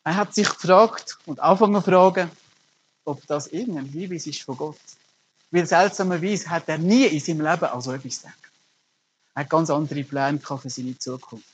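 A man's voice: fairly high pitch, a little hiss or hum. A middle-aged man, preaching a sermon as a pastor and telling stories earnestly with emphatic rises. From Germany.

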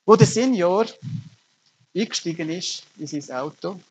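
A man's voice: sits high, animated, unhurried, slight background noise, low-quality recording. A middle-aged man, preaching a sermon as a pastor and telling stories earnestly with emphatic rises. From Germany.

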